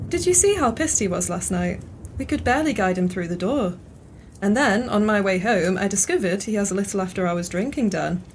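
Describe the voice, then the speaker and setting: A wry, sharp-witted female pub regular played by a woman in an audio play. Her medium-pitched voice is teasing, with faint ambience behind it. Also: slight background noise, natural voice.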